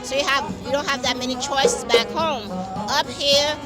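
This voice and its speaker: A woman's voice: high voice, a little slow, over loud music, a loud crowd. A woman from Haiti answering questions in a street interview, hesitating and restarting her phrases.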